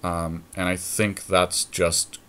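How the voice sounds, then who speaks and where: A man co-hosting a gaming podcast, talking casually and deliberately as he works out strategy aloud during a card game. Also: low-pitched, little pitch movement, bright, forceful tone, a little hiss or hum. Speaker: podcast co-host commentating on own card game play.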